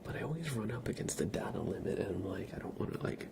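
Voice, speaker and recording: A man streaming ASMR, chatting casually to viewers in a soft, breathy whisper with a slightly stuffy nose. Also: fairly low pitch, sing-song, fast, background noise. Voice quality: breathy whisper with a creaky edge. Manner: soft-spoken, rambling, casual.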